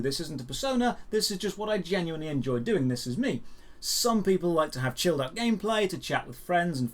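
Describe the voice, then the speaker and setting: A man with a voice pitched a little above average presents news commentary as a show host. He speaks straight to the viewer in an emphatic presenter's delivery, as if working from a script.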